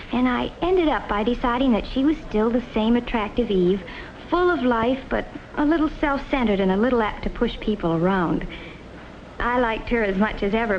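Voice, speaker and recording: Thoughtful young woman played by an actress, fairly high voice, scripted 1950s film narration, dull vintage soundtrack. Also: lightly acted. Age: young adult. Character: thoughtful young college woman narrating about her friends. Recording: muffled old film soundtrack, faint hiss.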